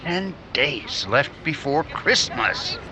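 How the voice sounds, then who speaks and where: An actor playing a friendly, concerned newspaper reporter in a 1950s-style radio drama. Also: male voice, medium pitch, big pitch swings, takes it slowly. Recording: noisy, traffic sounds behind.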